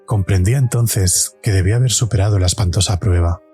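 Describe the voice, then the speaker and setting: A man's voice: lowish voice, a little fast, dark tone, soft background music, lightly acted. A man playing a suffering hero of a supernatural tale, narrating an audio drama in the first person. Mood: relieved, drained. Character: suffering young male hero surviving a supernatural trial.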